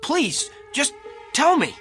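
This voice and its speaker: An actor playing a distraught husband in a radio drama, agitated, insistent and pleading. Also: male voice, very high voice, wildly swooping pitch, takes it slowly, fairly bright tone, faint music behind, heavily acted. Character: distraught husband convinced his face is a stranger's.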